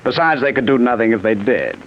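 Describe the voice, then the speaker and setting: Actor as a coldly deranged husband, muffled old radio. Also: male voice, medium pitch, animated, lightly acted. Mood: smug, gloating. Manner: menacing, mock-courteous, deliberate. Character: deranged, jealous husband, coldly polite gloating killer. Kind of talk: 1940s radio suspense acting. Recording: muffled, noisy vintage radio recording.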